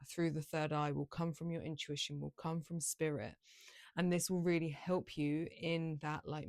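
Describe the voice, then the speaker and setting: Low-voiced woman giving a tarot reading. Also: a little mellow, dry recording, clean studio sound. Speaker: tarot card reader, channel host. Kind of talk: casual, improvised tarot card reading.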